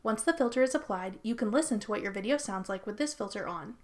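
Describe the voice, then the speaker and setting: A woman's voice: mid-range voice, lively intonation, quick pace. A woman narrating a scripted how-to tutorial as its host.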